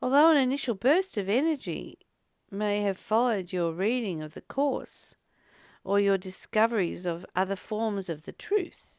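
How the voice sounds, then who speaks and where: A woman giving a contemplative spiritual reading with informal personal commentary, pausing and repeating phrases as she goes. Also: mid-range voice, expressive, darkish tone, smooth, no room sound, studio quality. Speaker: host of a spiritual reading and study series.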